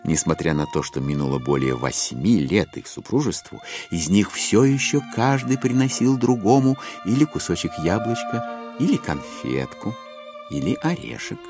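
An actor playing a wry, digressing storyteller, narrating a dramatized classic novel in an ironic, expressive, musing way. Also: male voice, sits low, moderate pace. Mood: amused irony. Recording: music under the voice.

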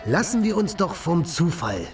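A whimsical man about town talks in florid, playful phrases. His voice is fairly high for a man, and music plays clearly behind this radio drama dialogue. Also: big pitch swings, moderate pace. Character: talkative, whimsical literary male city flâneur.

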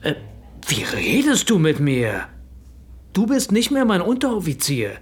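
An actor playing a brash, callous business partner in a radio play, blustering and jeering in a coarse manner. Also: male voice, high voice, huge pitch swings, a little slow, slightly rough, heavily acted. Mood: indignant. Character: brash, callous male business partner, crude and self-righteous.

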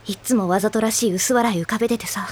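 A woman playing a prickly, blunt high school girl in an audio drama, with a curt, sharp-tongued delivery. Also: steady tone, fast, husky, natural voice. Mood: irritated, scornful. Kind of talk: Japanese audio drama acting.

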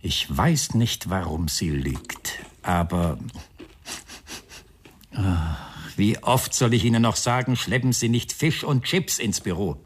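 A man's voice: deep voice, animated, a little hiss or hum. An actor playing a probing, irritable male police inspector in a radio crime drama, weary, sardonic and insistent. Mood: irritable, grumbling. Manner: measured, insistent questioning, grumbling.

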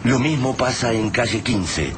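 A man's voice: medium pitch, animated, a little slow, noisy background, close to an everyday voice. An Argentine man playing a grave narrator of a science-fiction invasion in a scripted radio drama, telling it solemnly. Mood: solemn, descriptive. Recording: loud music under the voice.

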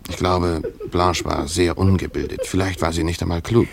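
Deep-voiced brooding storyteller. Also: male voice, fairly even intonation, vocal fry, noisy background. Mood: brooding, reflective. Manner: reflective, halting, self-questioning. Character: brooding, lovesick former tutor recalling his past. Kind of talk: radio drama narration.

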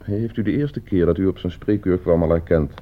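An actor playing a coldly scheming gentleman heir in a radio play, suave, calculating and condescending. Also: sits low, brisk. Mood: coolly probing. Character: coldly scheming, broke gentleman heir plotting his uncle's murder. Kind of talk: Dutch radio play acting. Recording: muffled old radio play recording.

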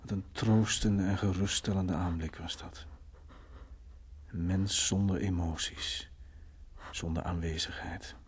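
A man's voice: low-pitched, steady tone, a little slow, long pauses, slightly rough, full character voice. An actor playing a bitter, brooding drunk man in a Dutch radio play, sardonic, morose and terse in manner. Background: faint music.

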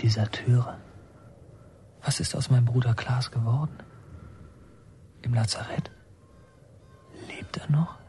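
An actor playing a reflective son who narrates a war story in a radio drama, measured and literary. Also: male voice, medium pitch, dark tone, whispered, natural voice.

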